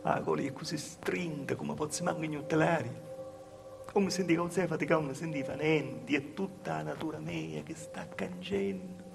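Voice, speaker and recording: A male actor playing a poor, naive, anguished young village woman in love, in a stage monologue with a Calabrian accent, telling it in halting, repetitive short phrases. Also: on the high side, lively intonation, gravelly, music in the background. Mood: anxious waiting. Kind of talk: solo stage monologue in southern dialect. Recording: faintly noisy live theatre recording.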